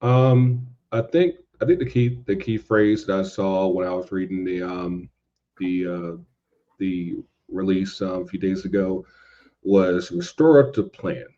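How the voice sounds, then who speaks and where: A man with a low, mellow voice talks casually and emphatically as a podcast host. He is opinionated, repeats himself for stress and swears freely, and the audio is a little dull and lo-fi. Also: a little slow, slight background noise. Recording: dull lo-fi sound.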